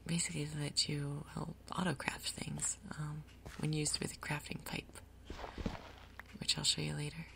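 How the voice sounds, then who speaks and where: A woman giving soft, breathy, whispered ASMR commentary on a game, murmuring her thoughts aloud in an unhurried way. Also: low-pitched, flat delivery, noisy background. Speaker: gaming video host.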